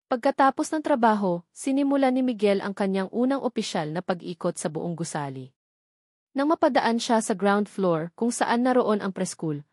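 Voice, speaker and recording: A woman's voice: medium pitch, a little mellow, no echo. Filipino woman narrator, close dry sound. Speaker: story narrator. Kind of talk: scripted dramatic audio story narration.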